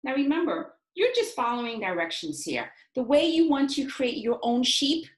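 A woman in her forties teaching young children in an expressive, encouraging, sing-song way, as in a read-aloud and craft lesson. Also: high voice, moderate pace. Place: small indoor home classroom. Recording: roomy, echoey home room sound.

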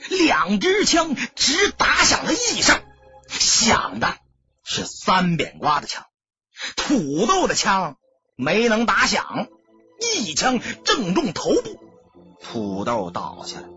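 A man narrating a crime story as an audiobook, in a theatrical, emphatic storytelling style with a husky, raspy voice. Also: high-pitched, lo-fi sound.

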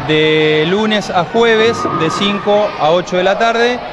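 A middle-aged man with an Argentine accent explaining a gymnastics program in measured answers with many "eh" fillers, speaking into a handheld mic in an indoor gym. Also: sits high. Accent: Argentine Spanish. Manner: measured, with frequent hesitant fillers. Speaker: gymnastics school coordinator. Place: indoor gymnastics hall with children. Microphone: handheld mic held by interviewer. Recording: loud crowd and noise behind.

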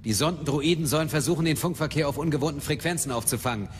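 An actor playing a brisk, authoritative military captain with clipped delivery in a radio drama. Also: male voice, a little high, slight background noise, lightly acted. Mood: commanding. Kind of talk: German radio drama acting. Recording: long hall-like reverb.

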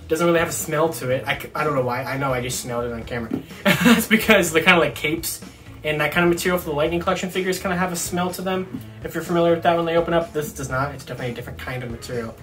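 A man's voice: on the high side, racing, fairly bright tone, faint music behind. A young man chatting casually to camera as he reviews a toy, rambling and thinking aloud. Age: young adult. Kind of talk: casual unboxing chat to camera. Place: small room at home, indoors.

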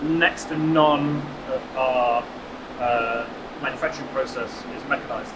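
A man's voice: mid-range voice, steady tone, a little slow, a loud crowd. A man guiding a walking tour of a factory, explaining the work in detail and matter-of-factly. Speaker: factory guide. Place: indoor motorcycle factory floor. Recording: noisy factory floor.

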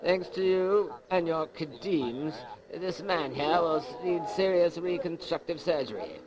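A man's voice: fairly high pitch, a little slow, a crowd in the background, natural voice, low-quality recording. A man playing a bullying, self-important gang boss in an amateur sci-fi cartoon, with a menacing, pompous delivery. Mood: stern, accusing. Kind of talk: amateur animated sci-fi voice acting.

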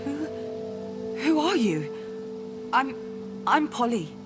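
An actress playing a bewildered, hesitant young woman in a video game, speaking in a halting, questioning way. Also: high-pitched, sing-song, full character voice. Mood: confused, overwhelmed. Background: prominent music.

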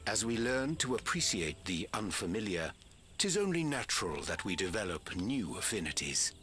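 An actor playing a solemn, gentle elf sage in a fantasy video game, with stately, deliberate, archaic phrasing. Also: male voice, fairly low pitch, sing-song, slight background noise. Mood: kindly, reassuring. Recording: background music under dialogue.

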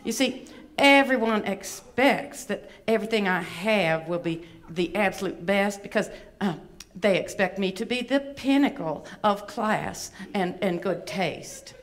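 A woman's voice: mid-range voice, animated, unhurried, lightly acted. A woman voicing a vain, fussy, self-important female wombat puppet with a Southern American accent in a children's skit. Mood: fussy, boastful. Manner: dramatic, self-important, folksy endearments.

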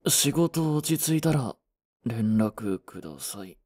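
A young office worker, played by a man, carries the regret of a proud boyfriend in a romantic audio drama. The voice is soft and husky, with a dark, mellow tone. The delivery is unhurried and introspective. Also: medium pitch, expressive. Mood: regretful, brooding.

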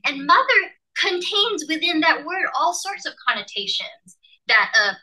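A woman from Malaysia, a professor, leading an online teaching workshop over a video call and explaining with lively emphasis. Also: extremely high pitch, a little slow, poor audio.

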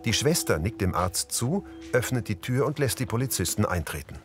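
Deep-voiced male narrator over music. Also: animated, moderate pace. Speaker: audio description narrator. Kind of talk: scripted narration, audio description of a TV series.

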